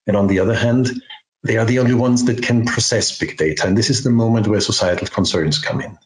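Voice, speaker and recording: A man in his sixties hosting an interview on a video call through a headset, reading a clear, deliberate introduction and asking questions. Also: on the low side, reverberant, poor audio. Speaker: interviewer and host.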